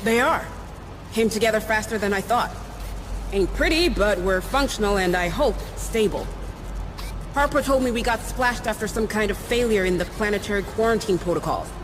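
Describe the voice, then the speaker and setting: An actress playing a gruff, practical, overworked chief engineer in video game dialogue, blunt and plain-spoken. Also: female voice, mid-range voice, expressive, normal speed, music in the background, lightly acted, low-quality recording. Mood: matter-of-fact. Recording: clear ship ambience noise behind.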